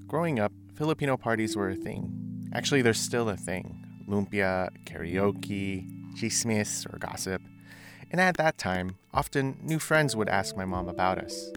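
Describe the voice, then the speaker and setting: Low-voiced man hosting over music. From United States. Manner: reflective, measured storytelling. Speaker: radio show host. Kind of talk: scripted radio narration and interviewing. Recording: music bed under the voice.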